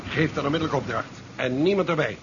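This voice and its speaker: Through a dull, hissy old radio recording comes a man playing a stern ship's commander. His somewhat high voice is measured and authoritative, with dry disapproval. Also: natural voice. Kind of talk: 1970s Dutch science fiction radio drama acting. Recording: muffled, noisy 1970s radio recording.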